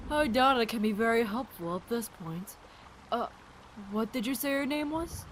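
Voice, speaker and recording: An actress voices a curious, reassuring doctor. Her voice sits a little high and she talks in a friendly, chatty audio drama style, with faint noise behind. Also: lively intonation, measured pace, low-quality recording. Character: friendly, curious adult doctor and virus researcher.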